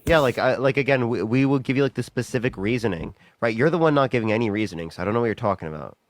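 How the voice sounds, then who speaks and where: A man in a heated political call who talks back in a dismissive, rapid-fire way. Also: medium pitch, animated, dry recording. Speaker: debate participant.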